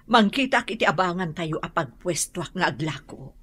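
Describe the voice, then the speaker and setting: An actress playing a worried, devout wife in a radio drama, with a raspy voice. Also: female voice, lowish voice, expressive, normal speed, a bit bright. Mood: worried, hopeful.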